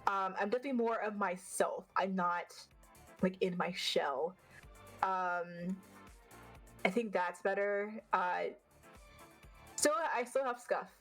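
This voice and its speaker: A woman with a deeper voice and a slight stutter, chatting fast and bluntly as an interview guest, swearing freely. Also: a bit bright, soft background music. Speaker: vtuber guest. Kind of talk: casual interview chat.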